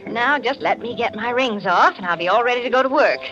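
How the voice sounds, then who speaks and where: An actress playing a lively, commanding society hostess in a theatrical 1940s radio drama style, poised and gracious. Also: female voice, on the high side, fairly quick, lightly acted. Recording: muffled 1940s radio recording with music.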